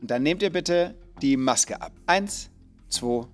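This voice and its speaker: A man's voice: mid-range voice, lively intonation, takes it slowly, soft background music. A man hosting a children's quiz show, talking cheerfully and directly to the contestants. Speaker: game show host.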